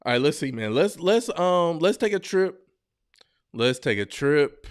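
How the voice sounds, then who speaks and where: A man's voice: fairly high pitch, very animated, measured pace, no echo, clean studio sound. A man hosting a podcast, reacting to online videos in casual, slangy, blunt and joking commentary full of exclamations. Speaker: podcast host.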